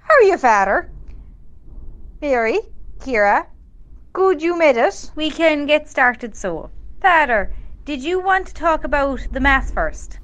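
A woman's voice: sits high, big pitch swings, a little slow, fairly bright tone, heavily acted. A woman playing a friendly, organising Irish parish group chair in an amateur radio drama. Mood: welcoming. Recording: very noisy room ambience.

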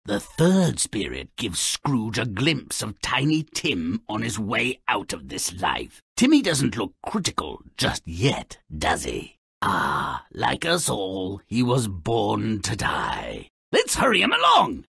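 Grumpy mischievous creature, video game narrator, gravelly, forceful sharp edge, slow relish, sardonic, theatrical. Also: male voice, fairly low pitch, huge pitch swings, no room sound, studio quality, exaggerated voice. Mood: mock pity, then gleeful scheming. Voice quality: gravelly, raspy. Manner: mocking, grandiloquent, relishing misfortune.

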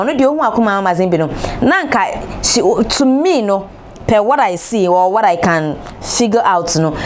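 A woman in her thirties with a Ghanaian accent, presenting entertainment gossip from a studio chair in an animated, exclamatory way, firing rhetorical questions. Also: medium pitch, a little hiss or hum. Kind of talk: gossip commentary, mixing Twi and English. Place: studio, seated on a large throne-like chair. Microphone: lapel.

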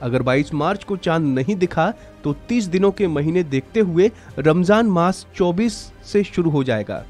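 A man's voice: on the high side, lively intonation, normal speed, hardly any pauses, darkish tone. A man reading scripted news narration as a presenter. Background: background music.

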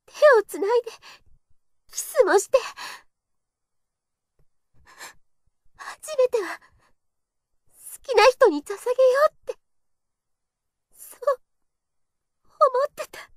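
A woman's voice: extremely high pitch, no room sound, studio quality. A young woman playing a shy, earnest kendo girl in an audio drama monologue, with a hesitant, confessional delivery. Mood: emotional, longing.

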